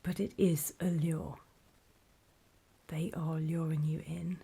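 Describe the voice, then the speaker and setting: A woman playing a wary, paranoid zombie apocalypse survivor in a horror ASMR roleplay, in a soft breathy whisper with hesitant, confiding delivery. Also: low-pitched, level tone, exaggerated voice. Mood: hushed, wary warning. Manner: slow, hesitant, confiding, with pauses.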